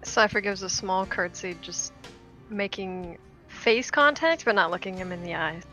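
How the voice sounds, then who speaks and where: A woman's voice: medium pitch, unhurried, pushed and bright, soft background music. A woman playing a tabletop roleplaying game, narrating what her character does.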